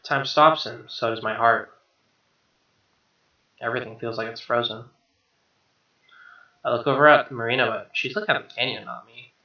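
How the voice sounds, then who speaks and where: A man doing an expressive read-aloud of a game's narration, with casual asides. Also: mid-range voice, normal speed, long pauses. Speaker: gamer reading a visual novel aloud. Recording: roomy, dull lo-fi mic. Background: game music.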